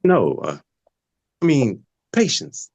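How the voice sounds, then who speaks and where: A man's voice: mid-range voice, huge pitch swings, takes it slowly, creaky, darkish tone, exaggerated voice. A man playing a nosy character who feigns casual small talk in a table read. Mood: casually prying.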